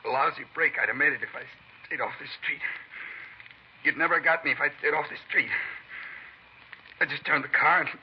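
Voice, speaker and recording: A man playing a gravely injured, bitter crook in a 1950s radio crime drama, weak, halting and self-pitying. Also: on the high side, close to an everyday voice. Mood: dazed, regretful. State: weak, dazed delivery. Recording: muffled, slightly noisy vintage radio recording.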